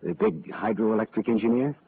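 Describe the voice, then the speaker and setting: An actor in an old radio drama playing a sardonic, wisecracking hard-boiled private detective with a dry, worldly delivery. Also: male voice, lowish voice, brisk, breathy edge, no echo. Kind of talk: 1940s-style radio detective acting and narration. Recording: tinny, noisy old radio recording.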